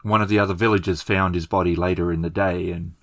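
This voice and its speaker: A man's voice: low-pitched, fairly even intonation, fast, dry recording, natural voice. A man voicing a pragmatic, money-minded villager who speaks respectfully and persuasively in a tabletop game. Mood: reasonable, placating.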